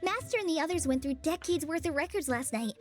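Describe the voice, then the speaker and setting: Eager young apprentice girl, polite and high-voiced. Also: animated, quick pace, music in the background. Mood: explaining. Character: earnest young female forest ranger apprentice. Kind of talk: game voice acting.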